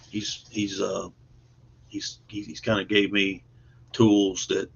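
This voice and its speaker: A man's voice: fairly low pitch, fairly bright tone, a little hiss or hum. A man chatting casually as an interview guest about his creative work, earnest, modest and plain-spoken in a folksy way. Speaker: guest, comic book artist and writer. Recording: dull lo-fi online call audio.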